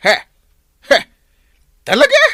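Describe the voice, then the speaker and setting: An actor with an Ilocano accent playing an excitable, exclamatory village captain in a comic radio drama. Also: male voice, slow, no echo, studio quality. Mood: startled, blustering. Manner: exclamatory, blustering, sputtering.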